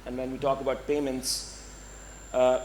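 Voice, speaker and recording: A hoarse man speaking on a conference panel, casual and direct. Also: mid-range voice, completely flat delivery, a little slow, a little mellow, slight background noise, rough recording. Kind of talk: panel discussion. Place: conference hall.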